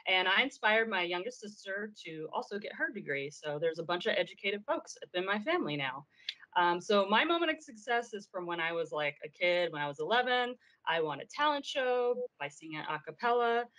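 An American woman with a slightly low voice introduces herself as a librarian. She is playful and laid-back, talking in very casual slang. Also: a bit bright, low-quality recording. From Pomona, Los Angeles, California, US. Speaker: academic librarian.

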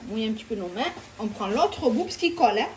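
A woman's voice: fairly high pitch, big pitch swings, quick pace, darkish tone, a little hiss or hum, low-quality recording. A woman hosting a home cooking video, chatting step by step and talking straight to her viewers. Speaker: cooking channel host. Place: home kitchen.

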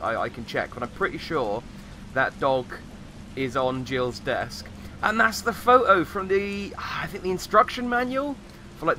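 A man with a slightly croaky voice giving casual, rambling commentary as he plays a game. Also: a little high, pushed and bright, a little hiss or hum. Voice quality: slightly croaky, recovering from voice loss. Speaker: gamer, host of a let's play.

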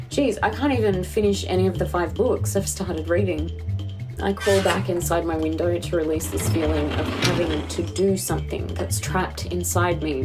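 A woman's voice: medium pitch, close to an everyday voice. A woman playing an anxious, restless young writer alone in quarantine, narrating her thoughts in an introspective, confessional audio drama. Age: young adult. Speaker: lead actor narrating in character. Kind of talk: first-person audio drama monologue. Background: musical score.

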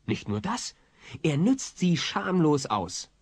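A man's voice: a little high, sing-song, slow, a little mellow, slightly rough, dry recording. A man narrating a scripted audio play in a wry storytelling manner.

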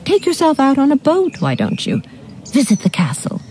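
Imperious posh society lady, mellow but swooping all over, radio drama. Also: sits high, huge pitch swings, moderate pace. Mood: exasperated, brisk.

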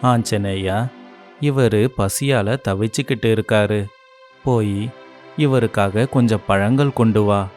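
Cartoon role: a calm, compassionate divine prince, unhurried. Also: male voice, fairly low pitch, soft background music. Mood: kind. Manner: gentle, reassuring. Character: kind, dutiful divine prince. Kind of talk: animated storybook dialogue.

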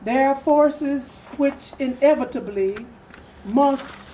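A woman's voice: high-pitched, slow pace, mellow, a little hiss or hum, low-quality recording. An older woman giving a solemn, declamatory dramatic reading of an old speech. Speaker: readers theatre performer. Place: furnished sitting room, indoors.

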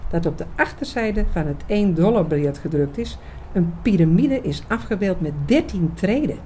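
Actress doing eager researcher lady in a radio play, explains stuff emphatically, mellow voice, slight noise behind. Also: on the low side, expressive, normal speed, natural voice. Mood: intent, intriguing. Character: Michelle, eager, knowing female researcher explaining mysteries. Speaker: actress in an audio drama. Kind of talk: scripted radio drama dialogue.

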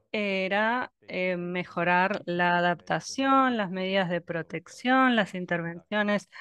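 A woman interpreting a scientific webinar talk live, halting now and then with pauses and fillers. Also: medium pitch, smooth, no room sound, dull audio. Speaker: simultaneous interpreter.

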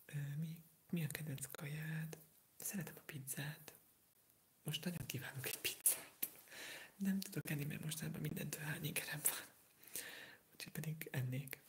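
An eighteen-year-old from Hungary whispering softly through an ASMR livestream, answering viewer comments while sick with a sore throat, rambling and hesitant. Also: mid-range voice, fairly even intonation. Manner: soft unvoiced whisper, rambling, hesitant with fillers.

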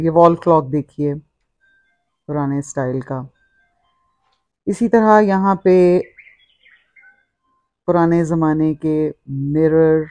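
Middle-aged woman with a bright voice. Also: low voice, dry recording, poor audio. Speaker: vlogger. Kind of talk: narrated walk-through vlog.

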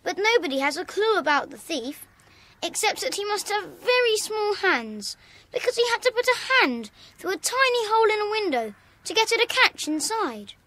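High-voiced earnest British schoolboy. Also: female voice, big pitch swings, moderate pace, sharp tone, heavily acted. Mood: earnest, upset. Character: earnest, indignant schoolboy club member. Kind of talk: children's audio dramatisation acting.

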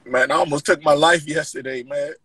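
A man's voice: fairly high pitch, fairly even intonation, brisk, pushed and bright. A young man, a guest, giving heartfelt personal testimony in casual speech. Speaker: guest rapper.

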